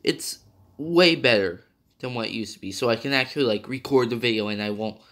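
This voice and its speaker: A man's voice: medium pitch, brisk, a bit bright. Rambling young man, slightly nasal. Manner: rambling, self-correcting, many fillers. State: partly sick, slightly nasal. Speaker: game reviewer. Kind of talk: casual video game review.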